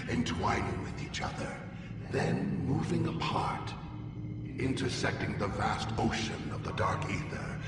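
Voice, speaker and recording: A man voicing an ancient otherworldly being in a video game, speaking formally and cryptically, like one patiently lecturing from on high. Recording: very echoey hall, distant, loud music.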